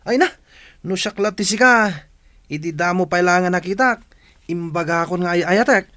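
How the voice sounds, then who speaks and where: A man's voice: high-pitched, moderate pace, bright, forceful tone, no echo. A man with an Ilocano accent playing a teasing, sly, pushy young cousin in a radio drama, boisterous and wheedling.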